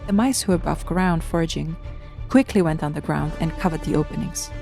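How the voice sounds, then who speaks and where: Lowish, mellow woman narrator. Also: a little fast, creaky, soft background music. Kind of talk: scripted storybook narration.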